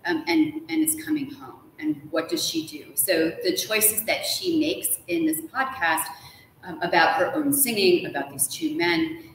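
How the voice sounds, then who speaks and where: A woman in her forties, an enthusiastic interview guest, telling stories casually with a playfully dramatic flair. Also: fairly high pitch. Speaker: guest author. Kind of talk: casual animated storytelling in a live interview. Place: large ornate hotel room, indoors. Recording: echoey room with faint background noise.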